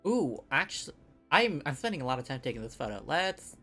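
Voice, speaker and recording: A woman's voice: extremely low pitch, big pitch swings, brisk, pushed and bright. A woman casually commentating on a game she is streaming, thinking aloud in short, reactive bursts. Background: video game music.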